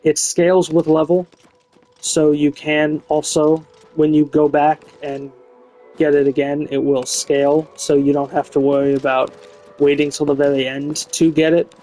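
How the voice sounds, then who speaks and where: A man's voice: on the high side, flat delivery, normal speed, dark tone, poor audio. A man giving a casual, unscripted video game review in a frank, opinionated way. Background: music behind the voice.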